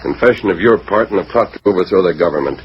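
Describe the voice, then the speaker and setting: A man's voice: deep voice, animated, fast talker, slight background noise. A man acting a stern, righteous, commanding hero in a 1940s radio western, emphatic in delivery, on a muffled old recording. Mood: stern, resolute. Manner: authoritative, emphatic, declamatory.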